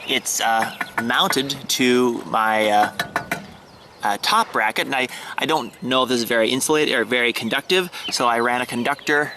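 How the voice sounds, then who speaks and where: A man giving a casual, halting show-and-tell of a hobby setup, pausing and restarting as he explains. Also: medium pitch, background noise. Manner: hesitant, halting, fragmented delivery. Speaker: amateur radio hobbyist demonstrating his setup. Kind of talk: casual demonstration vlog. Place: outdoors and in a parked vehicle in a residential neighborhood.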